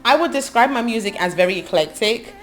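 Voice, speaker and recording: A woman's voice: on the high side, animated, fairly quick. A woman in her thirties from England, a gospel singer, talking thoughtfully about her life in an interview, listing things with frequent ums. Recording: music playing under her voice. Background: background music.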